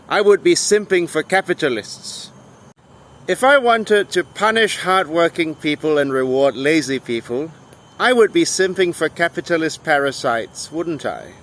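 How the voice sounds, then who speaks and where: A man delivering an argumentative monologue to viewers in an emphatic, deliberate way, with rhetorical questions. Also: fairly high pitch, a bit bright. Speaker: video essayist and English tutor.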